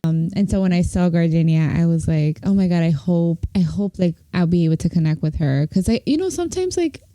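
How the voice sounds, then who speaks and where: A woman's voice: on the low side, animated, a little fast, dark tone, no room sound. A young woman from Brooklyn, co-hosting a podcast in casual chat, giggly and full of restarts. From Brooklyn, New York. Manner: chatty, giggly, trailing restarts. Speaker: podcast co-host.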